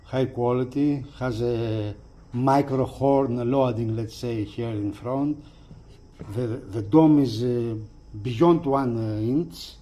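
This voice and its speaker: Slow, mellow man presenting a product. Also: mid-range voice. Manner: halting, hesitant delivery with repeated phrases. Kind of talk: casual unscripted product walkthrough.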